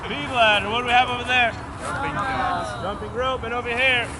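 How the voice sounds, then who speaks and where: A man teaching a fitness class outdoors, giving loud, repeated directions and quizzing his students. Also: very high-pitched, fairly even intonation, a little fast. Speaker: physical education teacher. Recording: loud background music and crowd noise. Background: loud music and crowd of students.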